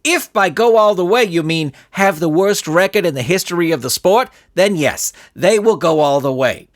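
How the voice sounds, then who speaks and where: A man voicing an irate, bitter soccer coach in a story, ranting with loud, sarcastic exasperation. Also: high voice, expressive, normal speed. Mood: scornful. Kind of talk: dramatised audiobook reading.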